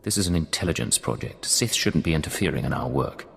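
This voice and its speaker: Give me a cool, understated game spy with a low voice. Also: male voice, lively intonation, fast talker, creaky, fairly bright tone, a little hiss or hum, full character voice. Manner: clipped, understated lines. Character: cool, terse male secret agent. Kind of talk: video game cut-scene acting. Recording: long hall reverb.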